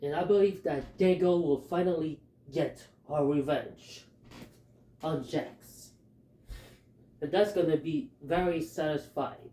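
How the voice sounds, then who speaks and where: A man with a Filipino accent talking through his fan theories in a halting, hesitant way, with many fillers. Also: a little high, warm tone, reverberant, rough recording. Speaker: fan commentator. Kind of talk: casual theory talk.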